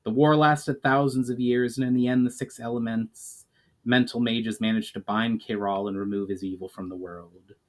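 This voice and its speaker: A man's voice: medium pitch, steady tone, normal speed, lightly acted. A man voicing a grave, knowledgeable elf mage on a quest, speaking formally and earnestly in a storybook reading.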